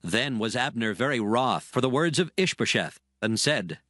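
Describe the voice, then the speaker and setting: A man narrating dramatized scripture as a scripted reading, in a solemn, measured manner. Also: mid-range voice, lively intonation, fairly bright tone, no room sound, clean studio sound. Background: background music.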